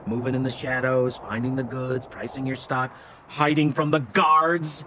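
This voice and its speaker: A man's voice: medium pitch, flat delivery, measured pace, sharp tone, a little hiss or hum. A man voicing a slick, theatrical male con-man relic dealer in a video game, with glib, wheedling salesman patter. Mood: glib, confiding.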